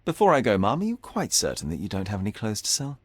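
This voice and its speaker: An actor playing a young, self-assured gentleman detective in a video game, speaking formally with dry politeness. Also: on the low side, wildly swooping pitch, fast, slightly rough, no room sound, heavily acted. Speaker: amateur detective.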